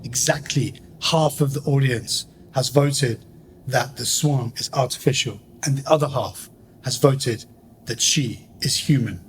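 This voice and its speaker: A man's voice: medium pitch, unhurried, a little hiss or hum, close to an everyday voice. A man playing a grandiose theatre host and poet in a radio play, pompous and declamatory. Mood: dramatic.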